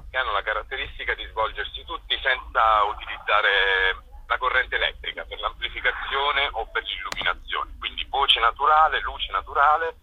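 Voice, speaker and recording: Man, very low voice, hard bright edge, hesitant, informal interviewee on a phone. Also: husky, low-quality recording. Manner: hesitant, searching for words. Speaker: festival co-director being interviewed.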